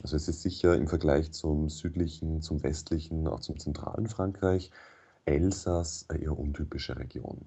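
A man speaking German as his first language, a radio interview guest who explains things in a measured way with frequent hesitations. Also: a bass voice, fairly even intonation, warm tone, breathy edge. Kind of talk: thoughtful radio interview answers.

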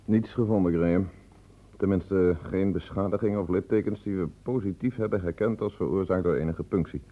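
Radio drama sceptical doctor: low, very mellow, husky, careful. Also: male voice, moderate pace. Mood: sceptical, explaining. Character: cautious, sceptical male hospital doctor.

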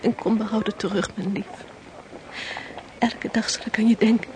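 A woman's voice: mid-range voice, steady tone, normal speed, a little mellow, a little hiss or hum, lightly acted. A woman in a radio play acting a sailor's sweetheart, tender and close to tears. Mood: tender, near tears. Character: sailor's sweetheart bidding a tearful, brave farewell.

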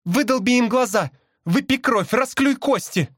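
A man voicing a fierce, desperate young female magpie with urgent, shrill cries in an audiobook. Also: lively intonation, moderate pace, fairly bright tone, dry recording, clean studio sound, heavily acted. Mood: frantic, bloodthirsty. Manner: shrill, urgent, exclamatory crying out. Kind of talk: dramatized audiobook character.

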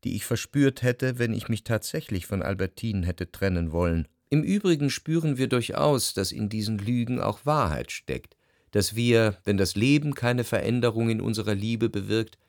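An actor playing a brooding, introspective narrator, reading in measured, reflective for a literary radio drama. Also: male voice, lowish voice, creaky, a little mellow, dry recording, clean studio sound. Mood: reflective, melancholy. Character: brooding, introspective male narrator recalling a troubled love. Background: music.

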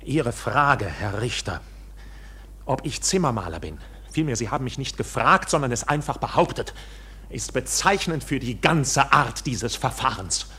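An actor playing a defiant, agitated accused bank officer in a German radio drama, speaking in an impassioned, declamatory way. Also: male voice, medium pitch, expressive, normal speed, sharp tone, slight background noise. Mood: indignant.

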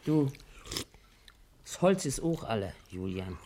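A woman's voice: rumbling low, big pitch swings, slow, warm tone, a little hiss or hum, exaggerated voice. An actress playing a scheming, bossy working-class wife and mother in a radio play, scolding and wheedling in German regional dialect.

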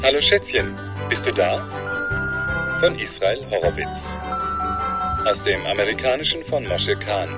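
A male announcer reads radio play credits in a fairly deep voice. Loud music plays under his scripted delivery. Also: lively intonation, measured pace, long pauses.

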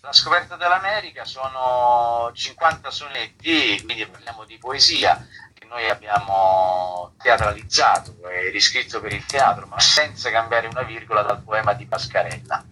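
A man's voice: mid-range voice, moderate pace, bright, forceful tone. A man speaking as a theatre director and actor in an interview, explaining in articulate, literary phrasing. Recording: clearly noisy background.

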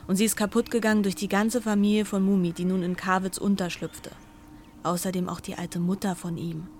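An actress playing a plain-spoken housemaid giving a matter-of-fact recollection. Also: female voice, lowish voice, darkish tone, slight background noise, lightly acted. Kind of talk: dramatized witness recollection.

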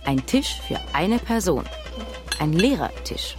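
An actress playing a wry, observant woman who recounts childhood memories in a husky voice, as radio drama narration. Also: lowish voice, big pitch swings, over music. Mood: wry, reflective. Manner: measured, dry, wry storytelling. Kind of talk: scripted first-person radio drama narration.

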